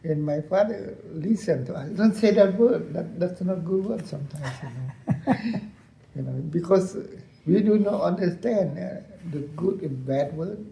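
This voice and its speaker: An older man with a Lao accent, a retired colonel recounting his war years in halting English, repeating phrases and laughing often. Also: high-pitched, expressive, creaky, dark tone, echoey room, rough recording. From Laos. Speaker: interview guest, veteran colonel.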